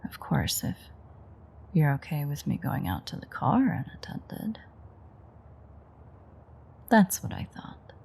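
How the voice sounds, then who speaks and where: Husky, breathy teasing vampire woman, voiced by an actress. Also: deep voice, sing-song, takes it slowly, long pauses, a little mellow, full character voice. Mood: playful, menacing. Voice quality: breathy, husky, often near-whisper. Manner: teasing, wry, murmured. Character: teasing, playful ancient female vampire, flirtatiously menacing. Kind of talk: intimate roleplay audio drama.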